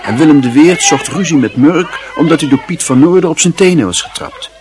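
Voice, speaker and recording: A man's voice: fairly high pitch, expressive, a little fast, crowd noise, noisy background. A man reading scripted literary narration as the narrator of a radio drama.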